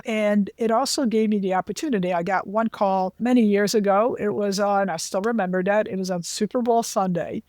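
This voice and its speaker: A woman's voice: mid-range voice, a little mellow, dry recording. Candid older woman, dry close sound. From Lebanon. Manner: anecdotal, self-deprecating, with frequent asides to the host. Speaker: interview guest, finance executive. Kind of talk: candid career storytelling.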